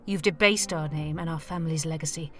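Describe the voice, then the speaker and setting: An actress playing a cold, imperious noblewoman in a high fantasy audio drama, stern and contemptuous. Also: steady tone, a little fast, a bit bright, soft background music. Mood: cold fury.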